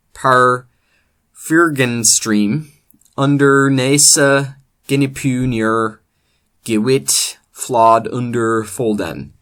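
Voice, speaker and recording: A man playing a solemn bard who declaims epic verse in a slow, loud, drawn-out recitation. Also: medium pitch. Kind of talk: dramatic Old English verse recitation.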